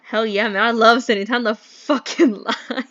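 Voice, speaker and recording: A woman playing a blunt, sarcastic sidekick with a dry, deadpan delivery in a comic audio drama. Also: fairly high pitch, normal speed, highs cut off, close to an everyday voice. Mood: blunt, wry.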